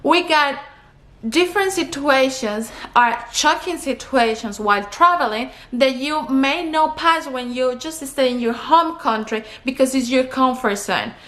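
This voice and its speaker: Young Colombian woman vlogger, high bright voice, earnest advice-giver, Spanish accent. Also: unhurried. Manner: earnest, advice-giving, counts off points. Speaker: travel vlogger. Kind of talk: talking to camera.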